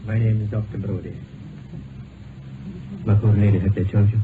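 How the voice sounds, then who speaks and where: A tinny, noisy vintage radio recording has an actor as a grave male doctor. His voice is on the low side. He speaks with solemn, hesitant sympathy in period radio drama style. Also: little pitch movement, moderate pace, close to an everyday voice. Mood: grave, sympathetic. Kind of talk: mid-20th-century radio drama acting.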